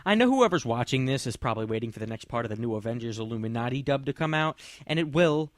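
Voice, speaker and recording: A man's voice: medium pitch, lively intonation, fast, no room sound, clean studio sound. A young man reading a sarcastic, mocking review of a comic, with snarky asides.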